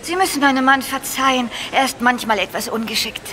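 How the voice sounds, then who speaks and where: An actress playing a cheeky, cheerful young Victorian wife who teases playfully in a German radio play. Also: female voice, sits high, fairly quick, pushed and bright, a little hiss or hum. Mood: teasing. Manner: playful, teasing, exclaiming.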